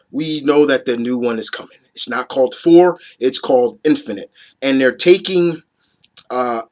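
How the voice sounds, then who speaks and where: A hoarse man in his thirties, getting over a cold, hosting his opinion video in a rambling, casual way with many fillers and restarts. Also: medium pitch, a bit bright. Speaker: host of an opinion video series. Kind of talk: casual chat to camera.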